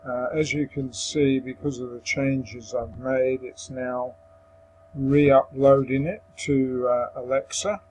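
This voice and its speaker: A man presenting a software tutorial, explaining step by step in a hesitant way. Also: mid-range voice, little pitch movement, slow, poor audio. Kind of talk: software screen-recording walkthrough.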